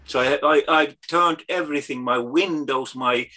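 A man's voice: medium pitch, moderate pace, pushed and bright. A man from Finland, a guest chatting on a video call, searching for his English words. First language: Finnish. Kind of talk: casual video call chat.

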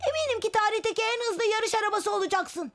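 Extremely high young cartoon vehicle pal. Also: female voice, quick pace. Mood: cheerful, supportive. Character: young vehicle child, cheerful supportive friend. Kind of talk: children's cartoon dub acting.

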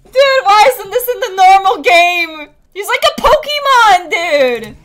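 High-pitched giggly woman streamer. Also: very animated, a little slow, a bit bright. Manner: giggly, gushing. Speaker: gaming streamer. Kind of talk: excited gameplay commentary. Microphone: headset.

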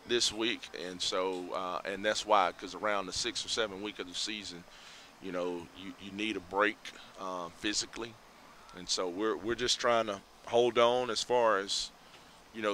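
A middle-aged man, a head football coach giving a measured, reflective postgame interview outdoors at night on a headset mic. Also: on the low side, sharp tone, a little hiss or hum. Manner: measured, reflective, many fillers. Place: outdoors by a school building at night.

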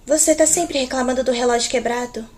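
A woman's voice: high voice, fairly even intonation, fairly quick, darkish tone, close to an everyday voice. A Brazilian woman playing a sleepy, playfully sarcastic teenage daughter in a game fan dub.

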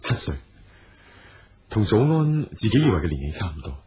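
An actor playing a patient, persistent businessman in a radio drama, speaking calmly and earnestly. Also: fairly low pitch, normal speed, slightly rough, heavily acted. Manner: calm, earnest and probing.

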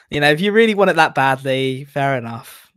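A man's voice: fairly high pitch, a bit bright. A man in his thirties with a Kent English accent, joking and laughing often while co-commentating a game stream from a home gaming setup. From England.

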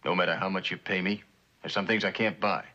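A man's voice: sits low, steady tone, fairly quick, bright, forceful tone. An actor playing a bitter, guarded war veteran and former lawman, terse and wry, with an American accent in western drama style. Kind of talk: mid-century American western drama acting. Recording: dull, lo-fi old drama soundtrack.

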